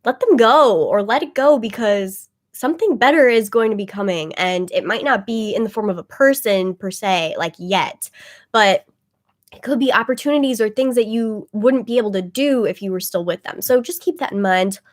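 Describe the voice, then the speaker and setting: A woman's voice: medium pitch, expressive, normal speed. Woman, casual livestream chat, webcam, rambling, slightly phlegmy. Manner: chatty, rambling, frequent "like" fillers. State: a bit phlegmy, congested. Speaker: tarot reader livestreaming to viewers.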